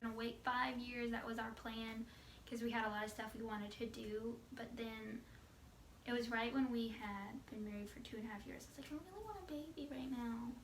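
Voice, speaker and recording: A woman's voice: fairly high pitch, steady tone, brisk. Young woman, distant and echoey, chatting. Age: 20s. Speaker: wife answering viewer questions with her husband. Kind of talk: casual couple Q&A chat. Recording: echoey room, distant mic, background noise.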